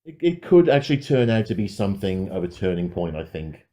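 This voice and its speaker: Low, mellow man reviewing films. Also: big pitch swings, fairly quick. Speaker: podcast co-host and film reviewer. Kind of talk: casual film review chat.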